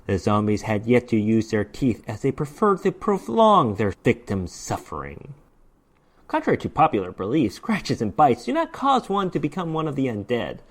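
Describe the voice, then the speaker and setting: Story narrator, mid-pitched and very animated, reading scripted fiction dramatically. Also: moderate pace.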